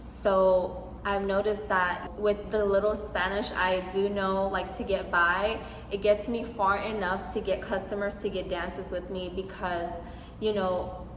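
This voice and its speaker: A woman's voice: medium pitch, little pitch movement, unhurried. Young woman, casual vlogger, sassy and emphatic; roomy echoey recording. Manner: sassy, emphatic, talks straight to viewers. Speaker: vlogger giving advice. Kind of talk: casual Q&A vlog talk. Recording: echoey room with noise.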